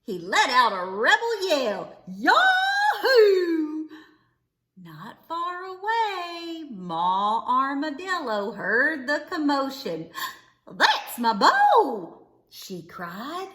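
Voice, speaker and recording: A woman reading a children's storybook aloud expressively, with dramatic, drawn-out emphasis on words. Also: sits high, sing-song, pushed and bright. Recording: echoey, roomy sound.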